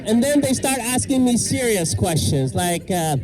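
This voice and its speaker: A middle-aged man from Iraq doing a self-deprecating stand-up set with deadpan punchlines, outdoors in a park. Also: sits high, normal speed, crowd noise, rough recording. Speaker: stand-up comedian. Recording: outdoor noise and passing traffic. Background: park ambience and vehicles.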